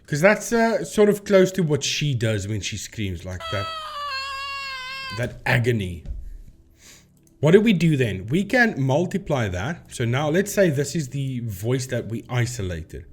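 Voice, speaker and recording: A man's voice: medium pitch, big pitch swings, unhurried, slight background noise. Emphatic man explaining on camera commentary. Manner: emphatic, repeats phrases for stress. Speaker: video host debunking paranormal claims. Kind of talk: explanatory commentary with screen demonstration.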